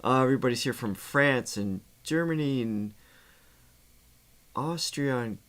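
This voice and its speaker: A man's voice: mid-range voice, a little slow, fairly bright tone. A middle-aged man hosting a music livestream in a casual, chatty way, friendly and joking as he talks. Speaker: host of a music reaction livestream. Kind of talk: casual livestream chat with announcements.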